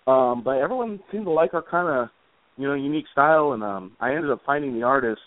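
A man's voice: medium pitch, animated, fast talker, no echo. A man chatting informally and a little ramblingly as an interview guest, over a tinny line. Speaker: interview guest, comic author and musician. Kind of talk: casual conversational interview.

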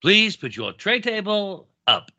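A man's voice: high-pitched, very animated, unhurried, pushed and bright, no room sound, full character voice. A man playing a playful young big brother who slips into mock formality.

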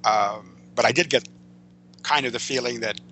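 An older American man speaking as an interview guest, reminiscing in a discursive, halting way and often correcting himself. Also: mid-range voice, sharp tone, slight background noise, low-quality recording. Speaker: podcast guest, veteran writer.

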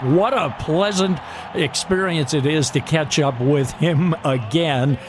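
A man hosting a sports radio show in a measured announcer's manner, moving between chat and scripted introductions. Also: on the high side, noisy background.

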